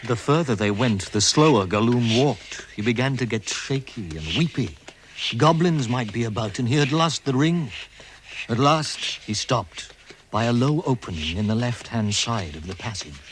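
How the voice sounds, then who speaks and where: A man narrating a vintage radio drama as a measured, dramatic storyteller. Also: medium pitch, expressive, natural voice. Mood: hushed suspense. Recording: slightly noisy vintage 1960s radio recording.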